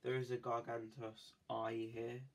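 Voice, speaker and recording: A man's voice: medium pitch, monotone, measured pace, slight background noise. A man with a cold, chatting casually and rambling with many fillers while building a toy set at a desk. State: has a cold. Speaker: toy-building video host. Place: indoors at a desk.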